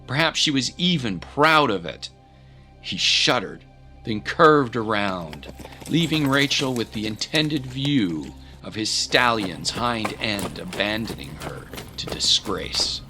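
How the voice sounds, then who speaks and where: A man's voice: fairly low pitch, big pitch swings, slow pace, sharp tone, faint music behind. A man narrating a scripted historical audio drama in a grave, theatrical manner.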